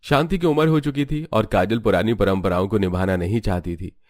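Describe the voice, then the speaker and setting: A man's voice: on the low side, fairly quick, darkish tone. A man reading scripted story narration for a cartoon. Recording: background music.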